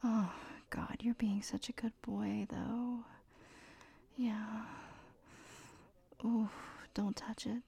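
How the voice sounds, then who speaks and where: Slow, breathy whispering woman. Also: a little high, little pitch movement. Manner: mostly whispered; teasing and commanding, with soft laughs. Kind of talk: whispered audio roleplay. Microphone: close mic.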